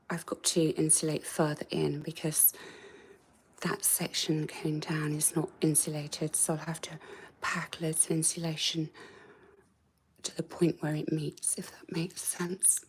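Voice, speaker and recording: A woman with a croaky, mostly whispered voice, giving a casual, hesitant tour of the attic she is renovating, filmed on a handheld camera. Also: low voice, poor audio. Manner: soft, hesitant, trailing off with ums. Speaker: home renovation vlogger. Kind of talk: casual walk-through tour. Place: indoors in a cramped attic.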